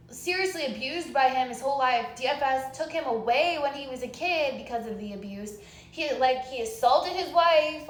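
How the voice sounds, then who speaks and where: A young woman calls to complain, and her high voice carries clear exasperation. She is insistent and confrontational, repeating what she wants. The recording sounds roomy and echoing. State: upset, exasperated. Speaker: caller. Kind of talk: phone complaint call.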